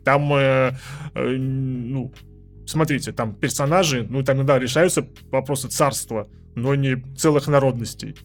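A man in a casual, joking podcast chat about films, speaking haltingly with repeated words and irreverent, coarse slang. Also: medium pitch, steady tone, soft background music. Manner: halting, stammering, repeats words as thoughts form. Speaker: podcast co-host. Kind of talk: casual joking film discussion with friends.

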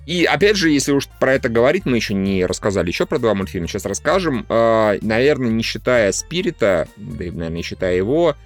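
Lively, opinionated man bantering on a podcast. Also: medium pitch, quick pace, few pauses. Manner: opinionated, swears casually, teasing. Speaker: podcast co-host. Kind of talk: casual podcast banter about animation. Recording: music behind the talk. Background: music.

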